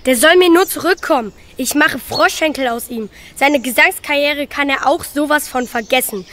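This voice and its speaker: A woman's voice: high-pitched, moderate pace, pushed and bright. A woman voicing a young princess who wails over her lost golden ball in a children's radio play. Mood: furious. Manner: wailing, dramatic. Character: weepy then furious young princess at the well.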